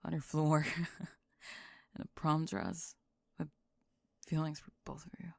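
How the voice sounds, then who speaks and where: A woman voicing a guarded, dry-witted goth high school girl in an ASMR roleplay, terse, reluctant and deadpan. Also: measured pace, creaky, dry recording, exaggerated voice. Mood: reluctant, vulnerable. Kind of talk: ASMR roleplay audio drama.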